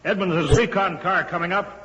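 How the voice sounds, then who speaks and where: An actor playing a cool, commanding male chief in a 1950s radio drama, speaking deliberately and with authority. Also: sits high, heavy background noise, close to an everyday voice. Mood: urgent. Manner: authoritative, calmly needling, deliberate. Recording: dull muffled 1950 radio broadcast.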